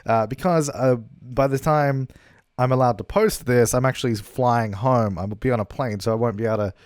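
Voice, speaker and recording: A man's voice: medium pitch, normal speed, darkish tone, no room sound, clean studio sound. A young man chatting casually over gameplay, rambling with lots of fillers and laughs, his voice a little worn, recorded in a hotel room. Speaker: gaming YouTuber. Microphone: travel recording setup.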